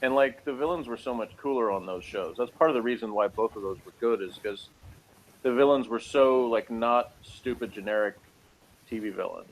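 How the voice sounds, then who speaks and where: A man's voice: mid-range voice, moderate pace, slight background noise, poor audio. Sarcastic man in casual review chat. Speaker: co-host of a TV review show.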